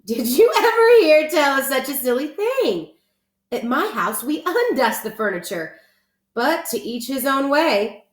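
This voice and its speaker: A woman's voice: high-pitched, sing-song, a little slow, echoey room, voice pushed up. A woman voicing a cheerful, literal-minded housekeeper from a children's storybook, chatting folksily and musing aloud. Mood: puzzled.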